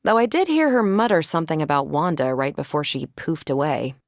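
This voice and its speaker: A woman's voice: low voice, expressive, brisk, no room sound, clean studio sound. A woman voicing a confident, quippy adult female superhero soldier in a video game, with a casual, dryly joking, assured manner. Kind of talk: video game character dialogue.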